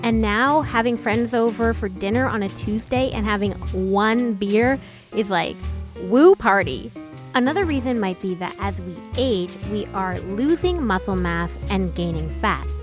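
A woman's voice: mid-range voice, lively intonation, measured pace, soft background music. A woman narrating an explainer segment in a chatty, personal way. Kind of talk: scripted narration.

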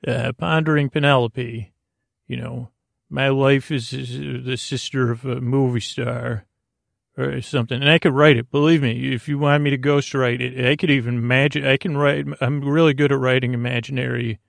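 A man with a soothing, gravelly voice hosting a bedtime podcast in a meandering, halting, self-deprecating mumble. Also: mid-range voice, fairly even intonation, dry recording, clean studio sound. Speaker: podcast host. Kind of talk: rambling bedtime sleep podcast monologue.

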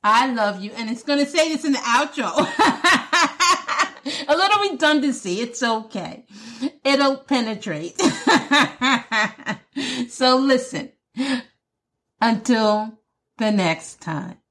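A woman's voice: fairly high pitch, lively intonation, takes it slowly, pauses often, a bit bright. A woman hosting her own podcast, chatting casually and playfully, often laughing and saying "listen" and "y'all". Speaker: podcast host. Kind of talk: casual solo podcast chat.

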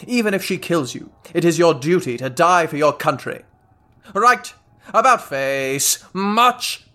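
An actor playing a pompous, blustering English army general in a comic radio play, imperious and easily outraged. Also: male voice, a little high, animated, unhurried, bright, forceful tone. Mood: imperious, barking.

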